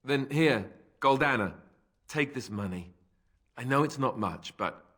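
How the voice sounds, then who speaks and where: An actor playing an earnest, awkward young warrior prince in a fantasy video game, vulnerable and halting in his speech. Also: mid-range voice, expressive, pushed and bright, slightly rough, exaggerated voice. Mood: apologetic, hesitant. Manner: hesitant, halting, sincere.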